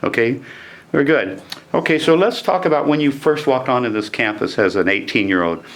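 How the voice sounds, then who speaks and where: A man's voice: medium pitch, brisk. Man hosting a casual interview. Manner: conversational, prompts guests by name. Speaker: interviewer, band fan site host.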